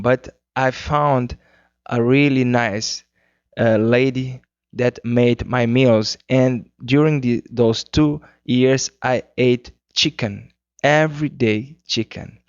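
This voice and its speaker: A Cape Verdean man whose first language is Creole, answering interview questions in English with unhurried speech, searching for words. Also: medium pitch, clear, resonant tone, no room sound. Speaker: guest singer-songwriter. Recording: muffled 2009 radio interview.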